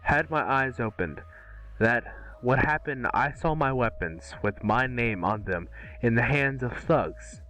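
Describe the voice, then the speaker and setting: A man's voice: medium pitch, expressive, unhurried, sharp tone, soft background music, low-quality recording. A man reading dramatic movie dialogue casually at an amateur table read, with occasional stumbles.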